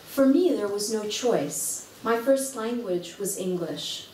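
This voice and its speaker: A woman from Kuwait, an author reading a prepared talk at a library event in a measured, articulate way. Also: mid-range voice, lively intonation, dark tone, slight background noise. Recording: echoey room, heard from far off.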